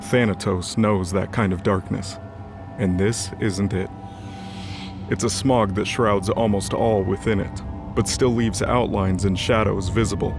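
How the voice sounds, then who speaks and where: A man narrating a scripted fantasy audio drama as its storyteller. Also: on the low side. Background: music bed.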